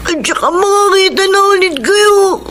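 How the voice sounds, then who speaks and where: A woman's voice: very high voice, normal speed, a bit bright, a little hiss or hum. A woman playing an earnest, polite young boy from a poor home in a radio drama, speaking hesitantly and pleadingly. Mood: guilty, tearful. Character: earnest poor young boy, devoted to his sick mother. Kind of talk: Tagalog radio drama acting.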